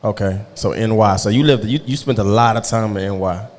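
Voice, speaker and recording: A man with a low voice hosts a relaxed podcast interview. He talks in easy slang and keeps checking in with his guest. His voice rings out into a reverberant room. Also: a little fast. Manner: laid-back, slangy, keeps asking "you know what I'm saying". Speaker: podcast host. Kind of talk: casual interview chat.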